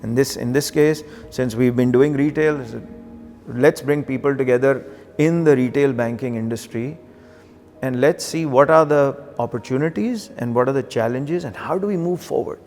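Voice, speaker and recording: A man's voice: medium pitch, normal speed, darkish tone, big-room sound. A middle-aged man with a Pakistani accent, speaking conversationally as he reflects and tells his story in an interview. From Karachi, Pakistan. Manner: conversational, occasional Urdu phrases. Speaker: banker, interview guest. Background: background music.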